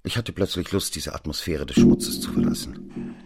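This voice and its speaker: A man playing a stern investigating judge who narrates the story in the first person, measured and descriptive. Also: low-pitched, noisy background. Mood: tired. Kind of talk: scripted radio drama narration. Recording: studio radio play with faint music.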